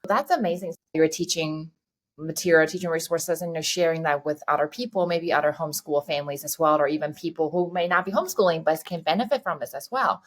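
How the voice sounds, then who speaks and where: A woman hosts a podcast interview with eager, friendly questions. Her voice is low. She talks casually and lightly pokes fun at herself. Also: a little fast. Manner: enthusiastic, self-deprecating. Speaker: podcast host.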